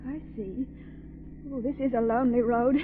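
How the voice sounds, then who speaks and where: A smart, excitable lady companion in an old radio mystery, acted by a woman. Her high voice is arch and expressive. The recording is narrow and tinny with light hiss. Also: normal speed, lightly acted. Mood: concerned. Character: smart, wry, excitable female companion of detective. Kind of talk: 1930s radio drama acting.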